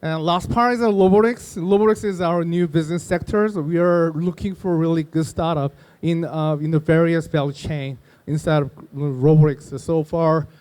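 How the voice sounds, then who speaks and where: A man presenting his company's investment strategy at a conference, halting with many "uh" and "you know" fillers. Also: fairly high pitch, fairly even intonation, a little mellow, smooth. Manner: halting, heavy "uh" and "you know" fillers, non-native phrasing. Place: conference stage.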